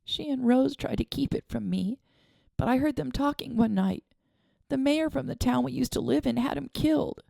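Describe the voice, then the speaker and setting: A woman's voice: sits high, normal speed, creaky, mellow, no echo, voice pushed up, heavily acted. A woman voicing a grieving young girl who stammers and whimpers through tears. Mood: tearful.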